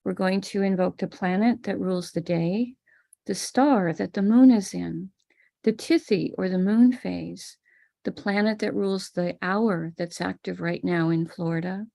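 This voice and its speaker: American woman walking viewers thru a ritual, calm and steady, gravelly low-toned voice. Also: measured pace. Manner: calm, explanatory, step-by-step. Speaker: spiritual teacher leading a ritual. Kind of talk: live-streamed ritual instruction. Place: home room with a small altar table.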